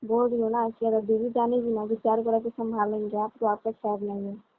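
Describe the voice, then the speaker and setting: High-voiced woman on a tinny phone line. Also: monotone, brisk, no room sound. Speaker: caller. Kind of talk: casual flirtatious phone chat. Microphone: phone call. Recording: thin narrow-band phone line.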